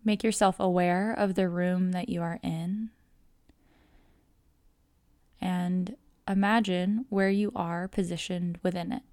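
A woman leads a guided yoga nidra in a slightly low voice. She speaks very slowly and calmly, leaving room between phrases. The sound is close and dry, with no echo. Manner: calm, measured, soothing instruction with long pauses. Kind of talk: guided meditation narration.

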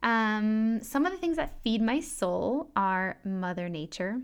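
Gentle woman hosting a wellness podcast. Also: mid-range voice, clean tone, lo-fi sound. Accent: American. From France. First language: French. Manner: soft, gentle and unhurried, with some fillers. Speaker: podcast host and health coach. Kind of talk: calm solo podcast talk with guided breathing.

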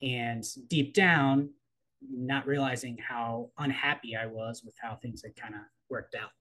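A man in his thirties from the East Coast of the United States, a coach and writer speaking as a guest in a thoughtful, measured way with frequent pauses. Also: medium pitch, steady tone, pushed and bright, poor audio. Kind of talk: reflective personal interview.

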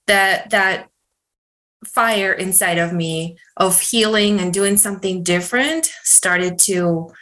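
A middle-aged woman from Russia, a neurofeedback practitioner, answering interview questions in an earnest, measured way with many pauses. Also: medium pitch, fairly bright tone, poor audio.

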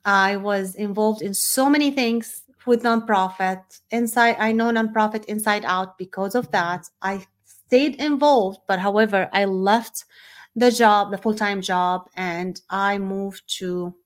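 A middle-aged woman speaks with a Syrian Arabic accent, her native language being Arabic. Her pitch sits in the middle range for a woman. She talks off the cuff in an informal personal monologue, as the host of a podcast. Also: unhurried.

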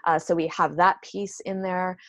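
A woman with a notably low-pitched voice speaks as an interview guest. She explains in an informal, conversational way, working things out aloud, in a very dry recording. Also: fairly even intonation, normal speed, a bit bright, no room sound. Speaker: business owner being interviewed.

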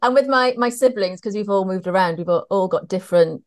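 A woman from North Wales with a Welsh accent, hosting a podcast and chatting in a warm, effusive way. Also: medium pitch, a little fast. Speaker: podcast host.

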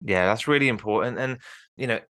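A man's voice: mid-range voice, a little fast, fairly bright tone, no room sound, clean studio sound. A man with a Birmingham accent hosting an interview, encouraging his guest and often affirming what he says. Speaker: interview host. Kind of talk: conversational interview.

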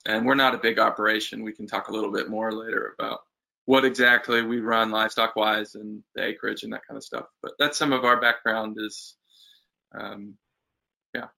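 A man in his thirties, a farmer guest in an interview, speaking thoughtfully and pausing with fillers. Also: lowish voice, level tone, gravelly, pushed and bright, poor audio. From United States. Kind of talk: casual interview chat.

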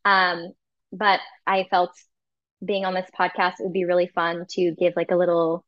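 A woman guest chats eagerly on a podcast, filling her sentences with likes and ums. Her audio is slightly dull and muffled, dry and close, and her pitch sits mid-range. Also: completely flat delivery, moderate pace, dry recording. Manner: warm, enthusiastic, uses "like" and "um" a lot. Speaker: guest, sound healer. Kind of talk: casual podcast interview.

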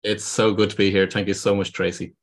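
Man, Irish accent, very low voice, earnest, halting explanations, podcast guest. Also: little pitch movement. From Ireland. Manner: earnest, explanatory, with many ums and restarts. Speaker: guest, business consultant. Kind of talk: podcast interview.

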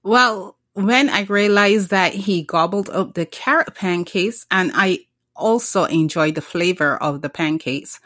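Middle-aged woman from Barbados, earnest founder guest, lowish pitch, dry close mic. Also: unhurried, a bit bright, no room sound. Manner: earnest, with frequent restarts. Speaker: small business founder, guest. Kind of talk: casual interview talk.

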